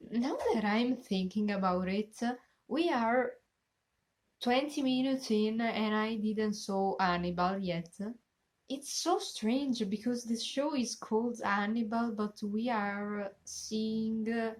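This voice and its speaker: A young woman in her twenties with an Italian accent, chatting casually as she reacts to a TV episode, often pausing to search for English words. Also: on the high side. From Italy. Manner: searching for words, many fillers, animated hand gestures. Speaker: reaction channel host. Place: small bedroom, indoors.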